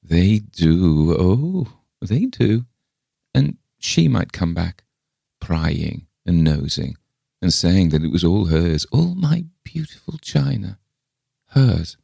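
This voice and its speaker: A man's voice: sits low, sing-song, slow pace, vocal fry, mellow, husky, no room sound, clean studio sound, exaggerated voice. A man voicing an eccentric, frail old lady in a storybook reading, wistful, peevish and possessive, with a thin, piping, plaintive delivery. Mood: agitated. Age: older. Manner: plaintive, sighing, wailing.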